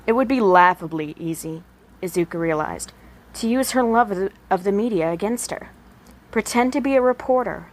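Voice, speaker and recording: Woman, mid-pitched voice, amateur fiction narration, scripted audiobook reading. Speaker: podfic reader.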